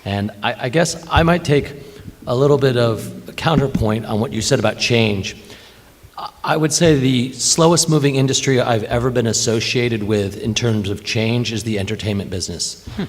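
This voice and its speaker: A man's voice: mid-range voice, moderate pace. A man speaking as a panelist at an industry conference, making firm, opinionated points. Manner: assertive, opinionated. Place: conference hall. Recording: reverberant conference hall.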